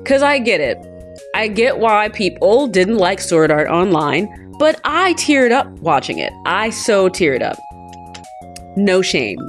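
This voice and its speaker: A woman reading a visual novel aloud in an expressive, playful way with goofy asides. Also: mid-range voice, slow, faint music behind. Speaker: let's play host.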